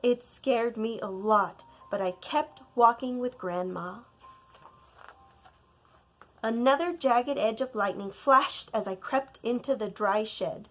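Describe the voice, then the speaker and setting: A woman narrating a children's storybook aloud like a teacher, deliberate and expressive, leaning on key words for drama. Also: fairly high pitch. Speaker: teacher reading a picture book aloud.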